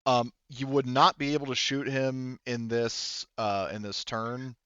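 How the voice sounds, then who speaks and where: Smooth-voiced male game master, halting. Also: mid-range voice, steady tone, fairly bright tone, dry recording, highs cut off. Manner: halting, thinking aloud through rules. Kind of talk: running a tabletop roleplaying game, casual.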